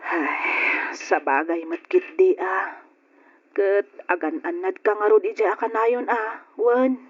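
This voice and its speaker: A woman playing a consoling, pious middle-aged aunt in an old radio drama, earnest and gently admonishing. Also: mid-range voice, steady tone, slow pace, husky. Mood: concerned, chatty. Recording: dull lo-fi radio drama with music.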